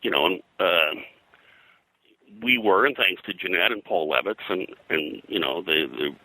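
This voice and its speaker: An older American man reminiscing as an interview guest, in a halting, conversational way full of verbal filler. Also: deep voice, animated, bright, forceful tone, no echo, low-quality recording. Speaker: interview guest, veteran comics colorist.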